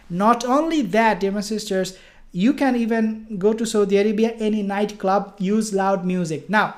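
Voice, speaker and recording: A woman speaks as a commentator on religion and politics in an informal, emphatic way. Her voice sits at an average pitch. She repeats key phrases and leans on rhetorical questions to press her points. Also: a little mellow.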